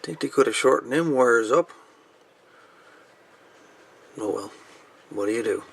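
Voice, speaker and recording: A man's voice: mid-range voice, expressive, unhurried, vocal fry, fairly bright tone, husky. Folksy man demonstrating gear, casual. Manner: folksy, colloquial. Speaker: video host reviewing and repairing gear. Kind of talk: casual hands-on demonstration talk. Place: indoor workbench.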